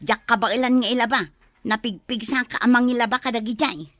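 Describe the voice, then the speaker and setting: An actress plays a bossy nagging wife in a domestic audio drama. Her voice is high and sharp, and she scolds and commands. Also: steady tone, a little fast, no echo, close to an everyday voice. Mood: exasperated, angry. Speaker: voice actor in a drama. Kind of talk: Ilocano audio drama acting.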